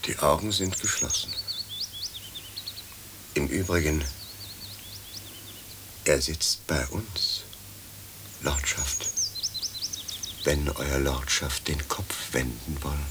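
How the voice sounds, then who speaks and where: An actor playing a deferential, sly old butler ghost in a German radio play, servile, formal and drily insinuating. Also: male voice, extremely low pitch, fairly even intonation, slow pace, long pauses, noisy background. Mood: dry, deferential.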